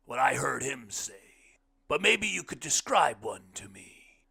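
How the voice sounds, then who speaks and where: A man voicing an earnest, insistent blind man in an audiobook, speaking in loud, emphatic, folksy plain talk. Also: medium pitch, big pitch swings, takes it slowly, vocal fry, pitched above the performer's own voice, heavily acted. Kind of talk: audiobook character dialogue.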